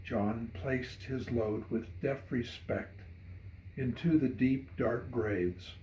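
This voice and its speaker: A man reading a narrative poem dramatically, in measured and solemn storytelling. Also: mid-range voice, fairly even intonation, dark tone, a little hiss or hum. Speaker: poet reading own poem. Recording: roomy echo.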